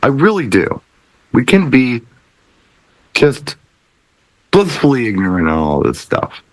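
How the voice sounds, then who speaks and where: A man chatting casually on a panel with wry, animated interjections. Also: medium pitch, unhurried, no room sound, low-quality recording. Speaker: DJ and podcast panelist.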